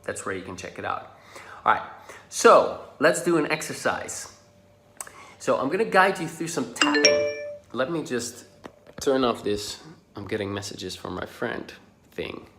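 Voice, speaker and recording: A man's voice: medium pitch, expressive, over music. Dutch man coaching in an echoey room. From Netherlands. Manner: casual, encouraging, drops to soft and slow when guiding. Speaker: social anxiety coach. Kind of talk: guided tapping lesson to camera.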